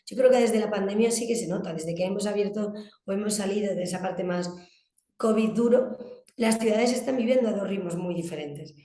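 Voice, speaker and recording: A woman's voice: mid-range voice, fairly quick, warm tone. Woman from Spain in an echoey room. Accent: Spanish (Spain). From Galicia, Spain. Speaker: interviewed guest, communications agency director. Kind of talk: conversational interview answers.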